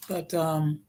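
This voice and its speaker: A woman in a casual online talk, explaining her views in a measured, emphatic way, point by point. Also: deep voice, a little mellow. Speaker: interview partner. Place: New York.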